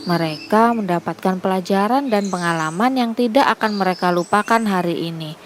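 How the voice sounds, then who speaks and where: A woman's voice: medium pitch, normal speed, heavy background noise. A woman reading a scripted children's fable as its storyteller. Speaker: narrator.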